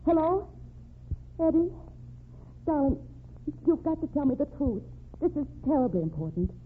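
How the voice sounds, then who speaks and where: A woman's voice: high voice, sing-song, moderate pace. An actress playing a loyal, determined young American working girl in an old crime radio drama, earnest and plain-spoken. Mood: urgent. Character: loyal, determined working-class young woman, gangster's sweetheart. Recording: tinny noisy old radio recording.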